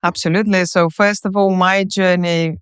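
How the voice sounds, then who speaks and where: A woman's voice: fairly low pitch, level tone, moderate pace, smooth, no echo, studio quality. A woman in her thirties from Bulgaria, with a Bulgarian accent, telling her story as a podcast guest. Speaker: podcast guest, company co-founder. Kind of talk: conversational interview storytelling.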